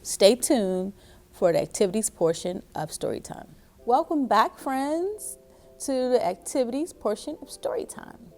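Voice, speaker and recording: A woman's voice: a little high, lively intonation, a little slow, darkish tone. A woman hosting a story time for young children, speaking to them in an encouraging way with frequent questions. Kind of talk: storybook read-aloud and craft instruction for young children.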